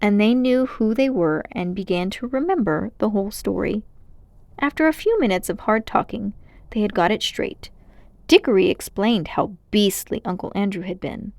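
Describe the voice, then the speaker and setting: A woman reading a children's story aloud as narrator, in an expressive storytelling style. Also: mid-range voice, moderate pace, creaky, no echo.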